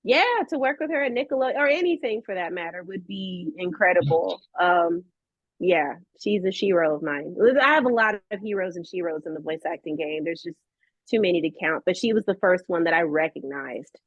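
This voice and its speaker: A woman's voice: lowish voice, a little fast, no echo. A woman talking casually and expressively as an interview guest, a voice actress telling her own stories. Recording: dull, lo-fi audio.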